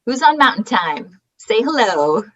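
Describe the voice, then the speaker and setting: Brief: woman co-host, husky voice, upbeat roll call, dull lo-fi video-call audio. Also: a little high, big pitch swings, a little slow. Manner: upbeat, chatty, laughing. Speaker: conference co-host. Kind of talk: casual roll call greeting.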